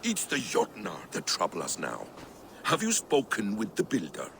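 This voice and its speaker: A man playing a sly, clever Norse trickster god in a dramatic video game, with a wry, smooth-tongued manner. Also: on the low side, huge pitch swings, moderate pace, noisy background, heavily acted. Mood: measured.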